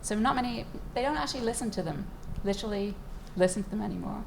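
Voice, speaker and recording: A woman's voice: medium pitch, fast talker, vocal fry, a little mellow, slight background noise, poor audio. A woman scientist speaking on a panel at a live event, enthusiastic and chatty, laughing easily as she tells stories from her work. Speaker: neuroscientist panelist. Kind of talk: panel discussion talk. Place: event space with live audience.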